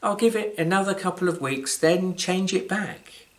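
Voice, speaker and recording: A British man with a slightly high voice reads literary fiction as its author. His delivery is measured and wry, leaning on dramatic pauses. Emphasis shifts from phrase to phrase. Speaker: author reading his own short story. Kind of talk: scripted literary storytelling, live reading.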